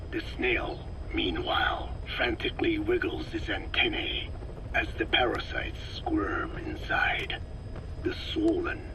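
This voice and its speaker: An actor playing a cold, older male scientist who lectures slowly in a gravelly whisper, on a game audio tape. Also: on the low side, heavily acted, rough recording. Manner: slow, lecturing, measured. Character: cold elderly male parasite scientist, calm and clinical. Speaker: scientist explaining parasites. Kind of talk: game audio log acting.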